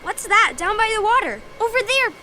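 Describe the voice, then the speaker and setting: A woman voicing a curious, excitable young schoolgirl, eager to discover things, in a children's audio drama. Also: extremely high pitch, fairly quick, full character voice. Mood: excited curiosity. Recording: noisy outdoor ambience behind.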